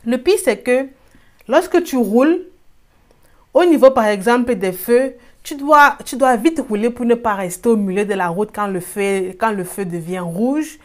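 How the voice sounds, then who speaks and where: Vlog host, woman, non-native French, chatty and lively, mid pitch, stumbles for words. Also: measured pace. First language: not French. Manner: searches for words often, lively exclamations. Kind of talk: casual personal vlog narration.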